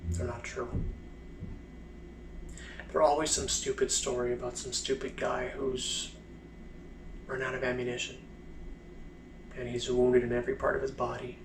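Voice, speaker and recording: A man's voice: mid-range voice, vocal fry, noisy background, close to an everyday voice. Man acting a bitter blinded soldier, echoey. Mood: scornful, sardonic. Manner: deliberate, sardonic, pent-up anger. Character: bitter, blinded young male soldier of World War One. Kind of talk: dramatic stage monologue. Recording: roomy echo, faint music.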